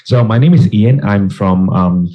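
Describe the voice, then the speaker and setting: A man from Hong Kong speaking as a panelist at an online conference, halting often with fillers. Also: fairly low pitch, highs cut off. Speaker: panelist, education founder.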